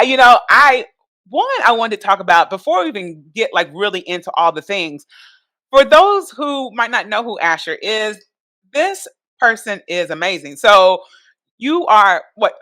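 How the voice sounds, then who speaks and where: Bright, enthusiastic woman podcast host. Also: a little high, sing-song, normal speed, no echo. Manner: enthusiastic, chatty, says "like" and "y'all". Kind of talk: casual podcast chat.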